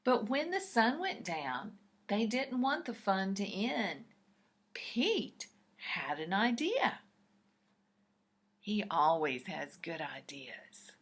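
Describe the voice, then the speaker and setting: A woman reading a picture book aloud to young children in an expressive, deliberate, explanatory way. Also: mid-range voice, husky.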